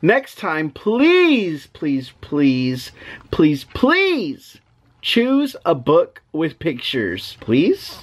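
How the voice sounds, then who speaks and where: A man voicing an exasperated, reluctant storybook reader, mock-protesting in a playful, drawn-out way as he is forced to say silly things. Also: fairly high pitch, wildly swooping pitch, exaggerated voice. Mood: pleading. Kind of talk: animated children's storybook reading.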